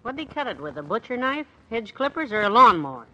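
An actress playing a wry, bossy but fond wife in a 1950s radio comedy. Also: female voice, on the high side, big pitch swings, fairly quick, bright, forceful tone, lo-fi sound, exaggerated voice. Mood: chiding, wry. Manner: wry, chiding. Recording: noisy old radio recording.

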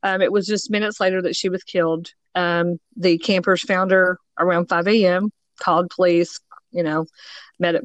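A woman's voice: fairly low pitch, dry recording. A woman co-hosting a true crime podcast, talking casually and rambling a bit, her voice slightly scratchy from allergies. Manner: rambling, many ums and you-knows. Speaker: podcast co-host.

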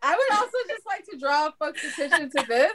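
A woman's voice: high-pitched, very animated, a little fast, fairly bright tone. A woman in casual podcast banter, blunt, sarcastic and sweary.

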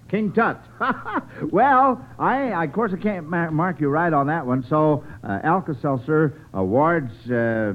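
An American man hosting an old-time radio quiz show. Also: a little high. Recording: muffled, band-limited vintage radio broadcast.

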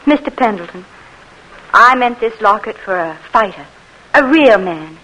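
A spoiled young plantation belle with a drawl. She sounds haughty and scornful and is used to giving orders. Her voice sits at an ordinary middle pitch, like an old-time radio play. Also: lively intonation, a little slow, bright, forceful tone, rough recording. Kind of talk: 1940s radio acting.

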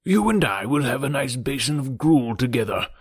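A man voicing a fussy, anxious elderly gentleman who dotes on his daughters and worries over health, in an audiobook. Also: medium pitch, expressive, normal speed, breathy edge, no echo, clean studio sound. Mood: fretful doting. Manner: doting, worried, gently insistent. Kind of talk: audiobook character reading.

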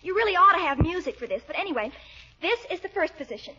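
A woman's voice: extremely high pitch, animated, fast talker, sharp tone, exaggerated voice. An actress playing an earnest, slightly huffy teenage schoolgirl in an old radio comedy. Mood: eager. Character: earnest, touchy schoolgirl niece in her teens. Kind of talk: 1940s radio comedy acting. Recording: muffled old radio recording.

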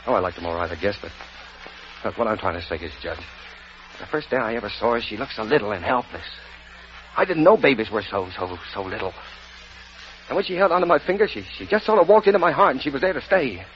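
A man acting an earnest young husband and father in a vintage radio drama, speaking haltingly and with emotion. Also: mid-range voice, animated, a little hiss or hum, close to an everyday voice. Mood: halting, subdued. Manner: halting, emotional, repeating words. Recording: muffled lo-fi old radio recording.

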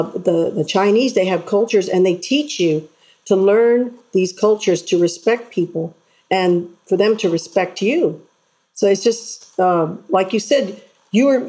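A woman guest in an interview, telling stories in an earnest, animated way. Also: mid-range voice, husky. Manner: earnest, animated storytelling with acted-out voices. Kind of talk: casual interview chat.

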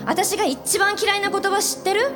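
A woman's voice: extremely high pitch, steady tone, moderate pace, music in the background. A woman acting a fiery, protective senior businesswoman in a live radio drama, with an emphatic, commanding delivery. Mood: firm, brisk.

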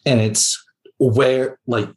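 A man with a medium-pitched voice talks as a guest on a casual podcast. He muses aloud, haltingly, feeling his way through his thoughts. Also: fairly even intonation, a little mellow.

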